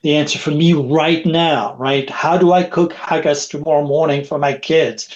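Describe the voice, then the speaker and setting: A man's voice: on the high side, fairly even intonation, normal speed, dull audio. Middle-aged French-native man, rambling guest. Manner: rambling, repeats words, frequent rhetorical "right?". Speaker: guest, technology expert. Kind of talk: conversational podcast interview. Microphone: video call.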